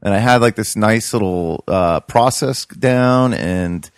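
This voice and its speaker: A man's voice: fairly low pitch, slow pace, clear, resonant tone, no room sound, studio quality. A young man chatting casually about his urban farm, rambling with lots of fillers and restarts. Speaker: podcast co-host, urban farmer. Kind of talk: casual chat.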